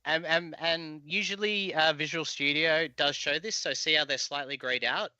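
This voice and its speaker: Bright, forceful man teaching casually. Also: fairly high pitch, steady tone, moderate pace, clear, resonant tone, no echo, muffled recording. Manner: informal, explanatory. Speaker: software tutorial instructor. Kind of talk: casual live coding walkthrough. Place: indoor room, video call.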